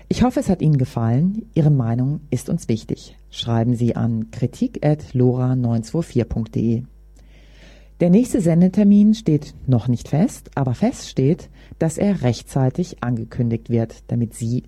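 A woman's voice: extremely low pitch, warm tone, no room sound. A woman presenting a radio programme, reading scripted announcements in a studio.

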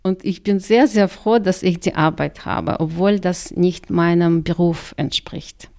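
A middle-aged woman speaks German with a Russian accent. She talks slowly, in a somewhat low voice with a gravelly edge. She answers carefully and leans on repeated words for emphasis. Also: steady tone, dark tone. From Kazakhstan. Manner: careful, repeats "sehr, sehr" often. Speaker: interviewee, migrant community worker. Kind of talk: radio interview answers.

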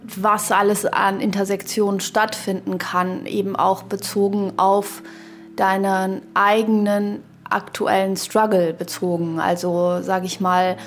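Halting podcast co-host, fairly even female-sounding voice, woman. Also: mid-range voice, a little hiss or hum. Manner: halting, hesitant, thinking aloud with academic vocabulary. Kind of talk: casual, reflective podcast discussion.

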